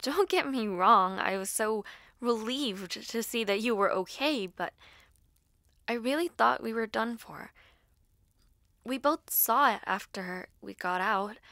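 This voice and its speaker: Woman playing a bright-voiced, hesitant teenage girl. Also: on the high side, vocal fry, no room sound, clean studio sound, full character voice. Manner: hesitant, halting, soft-spoken asides. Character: earnest, nervous school-age girl dabbling in ritual spells. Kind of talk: intimate audio roleplay, acted to the listener.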